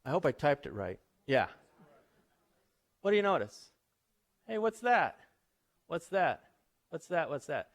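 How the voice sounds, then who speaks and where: A man's voice: on the high side, very animated, a little slow, gravelly, pauses often. Chatty man giving a casual lecture. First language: English. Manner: chatty, anecdotal, asks the audience questions. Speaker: visiting professor giving a talk. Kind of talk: informal lecture to an audience.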